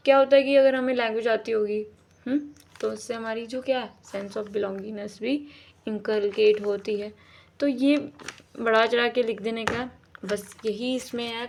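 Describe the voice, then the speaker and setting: A woman's voice: mid-range voice, vocal fry, a little mellow, slight background noise. A woman teaching an exam-prep lesson in a casual explaining way, often checking in and rephrasing her points. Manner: repeats "ठीक है" and rephrases often, with fillers.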